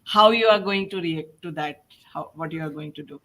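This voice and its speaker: A woman hosting a panel discussion as a podcast host, putting questions to guests in halting, hesitant phrases. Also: low voice, fairly bright tone.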